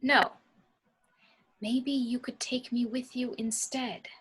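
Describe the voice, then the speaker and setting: A woman's voice: a little high, fairly even intonation, slow, fairly bright tone, husky, heavily acted. A woman reading a tense, insistent morgue doctor in an amateur film table read, urgent in manner. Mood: guarded, insistent.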